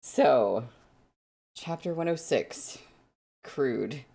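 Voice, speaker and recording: A book readalong host with a mid-range voice and an unhurried pace. The delivery moves between casual livestream chat and narration of a novel. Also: mid-range voice, lively intonation, vocal fry, mellow.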